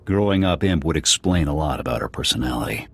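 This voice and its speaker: A wry, skeptical soldier with an extremely deep, husky voice. He delivers dry, sardonic remarks about his comrades. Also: animated, fast talker, fairly bright tone, heavily acted. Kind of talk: video game cutscene voice acting.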